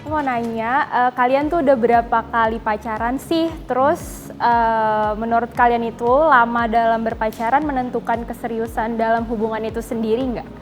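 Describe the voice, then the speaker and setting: A woman's voice: fairly high pitch, steady tone, normal speed. Young woman in her twenties, music behind. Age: 20s. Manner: polite, casual. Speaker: contestant. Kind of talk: casual self-introduction.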